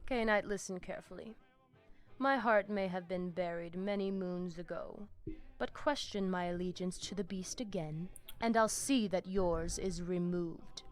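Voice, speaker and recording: A woman's voice: on the low side, fairly even intonation, measured pace, natural voice. An actress playing a proud, zealous female vampire pack leader in a video game, speaking in a solemn, grandiose, threatening manner. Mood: cold menace. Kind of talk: video game character dialogue. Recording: music behind the voice.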